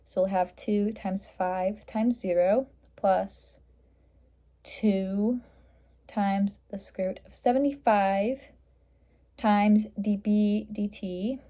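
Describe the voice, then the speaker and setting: Female tutor for math walkthrough: middle-pitched, somewhat mellow voice, matter-of-fact step-by-step delivery. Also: fairly even intonation, takes it slowly. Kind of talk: step-by-step explanation of a worked math problem.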